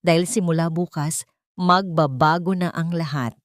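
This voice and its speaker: A woman's voice: low voice, moderate pace, no echo, studio quality, lightly acted. A woman voicing an arrogant, greedy husband in an audio drama, boastful and commanding in manner. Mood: smug, commanding.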